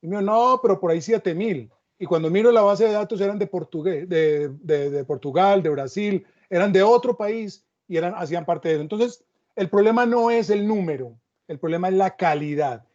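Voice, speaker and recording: A man moderates a panel discussion, turning the talk with reflective remarks and short stories. His voice is high for a man yet soft and mellow. The recording sounds dull and lo-fi. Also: fairly quick. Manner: reflective, storytelling asides.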